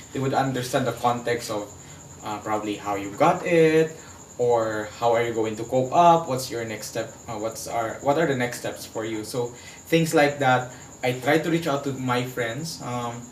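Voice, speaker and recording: Filipino man in an echoey room. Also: mid-range voice. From Philippines. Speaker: HIV advocate, interview guest. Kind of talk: personal testimony in a talk-show interview. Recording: echoey room, distant mic, faint background noise.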